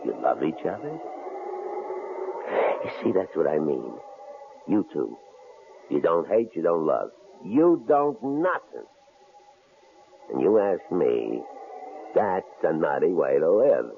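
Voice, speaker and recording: A cold, taunting tough guy, with a deep, gravelly voice that swoops up and down as he leers. The sound comes through a thin, tinny old radio broadcast with faint hiss. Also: very animated, slow, long pauses, exaggerated voice. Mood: menacing. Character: menacing tough male intruder, cold-blooded bully. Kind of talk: 1940s radio acting.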